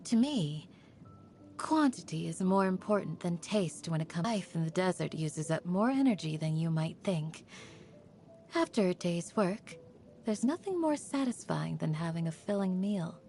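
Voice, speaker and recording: A woman voicing a calm, protective young guardian of a desert village in a video game, gentle and earnest. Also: lowish voice, measured pace, faint music behind. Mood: calm, warm. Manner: gentle, earnest, composed in talk. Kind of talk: video game character voice lines.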